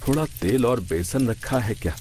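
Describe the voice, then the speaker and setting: A man's voice: medium pitch, expressive, normal speed, close to an everyday voice. A man playing a mild, easygoing village husband and father in a story, speaking casually with some hesitation. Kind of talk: scripted audio story dialogue. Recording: noisy recording.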